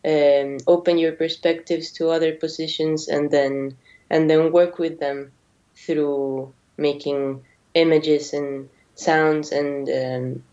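A woman's voice: sits low, mellow. A young woman from Argentina raised in Mexico, a native Spanish speaker, speaking English in an interview with many fillers and restarts. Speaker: guest. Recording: dull lo-fi audio.

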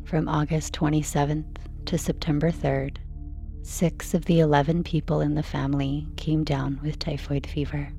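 A woman narrates a bedtime story in a low, softly mellow voice. She reads slowly and soothingly from a script, with faint music playing behind her. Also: level tone, creaky. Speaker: narrator reading a sleep story.

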